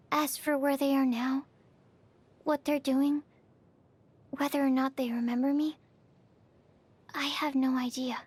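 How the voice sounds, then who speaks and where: A woman's voice: sits high, dry recording. An actress playing a shy, bookish young female alchemist in a video game, earnest and awkward, speaking in hesitant, precise, formal phrases. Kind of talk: video game character voice lines.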